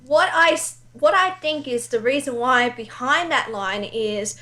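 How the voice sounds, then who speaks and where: A woman's voice: high voice, a little slow, pushed and bright. A woman speaking as a guest in a casual chat about video games. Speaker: guest, amateur voice actor.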